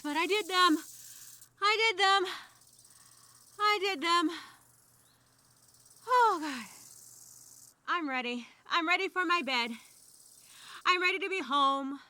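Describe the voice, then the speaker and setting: A woman's voice: extremely high pitch, bright, forceful tone, no echo, pitched above the performer's own voice, full character voice. A woman voicing an anxious, wry castaway speaking her survival journal in a theatrical, conversational audio story. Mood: exasperated, weary. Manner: conversational, theatrical, halting, with sighs. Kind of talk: solo dramatic monologue, audio journal storytelling.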